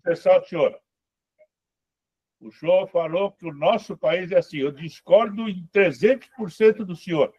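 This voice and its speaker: A man's voice: high-pitched, a little slow, mellow, slightly rough, dry recording. A man, a course participant who cuts in with emphatic, opinionated comments during a web conference. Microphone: video call. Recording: dry, dull lo-fi call audio.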